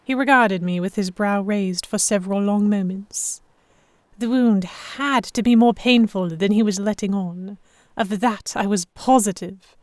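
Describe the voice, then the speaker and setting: A sharp-tongued heroine voiced by a woman narrates a pirate audio drama in the first person. Her pitch is mid-range and the sound is dry, with no room around it. She comes across as stubborn and proud. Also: dry recording, lightly acted. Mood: frustrated.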